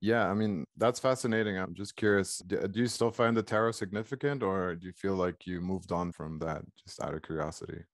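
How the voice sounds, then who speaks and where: Very low-pitched man, forties, from Egypt, thoughtful conversational delivery, close dry sound, video call. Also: brisk, hardly any pauses, dry recording. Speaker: author in conversation. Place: small book-filled room at home, video call.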